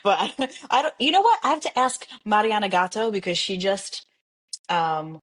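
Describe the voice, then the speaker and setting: A woman's voice: medium pitch, moderate pace. A young woman with a New York accent, a jazz singer chatting in an interview, telling stories animatedly with frequent laughs and fillers. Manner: animated storyteller, laughs often, many fillers. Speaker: jazz singer, podcast guest. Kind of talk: casual interview chat.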